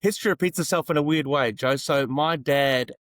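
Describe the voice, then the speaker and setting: A man's voice: fairly high pitch, brisk, fairly bright tone, no echo. Easygoing man, dry close sound. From South Africa, later Australia. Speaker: podcast guest. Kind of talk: casual interview storytelling.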